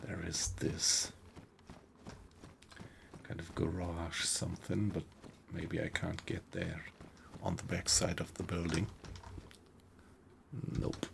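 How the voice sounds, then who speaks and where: A man's voice: deep voice, little pitch movement, slow pace, vocal fry, pauses often, slight background noise. A man with a German accent streaming a survival game, chatting easily with viewers and thinking aloud as he plays. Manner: easygoing, thinks aloud, reacts to the game while talking to chat. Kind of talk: live gameplay commentary, chatting with viewers.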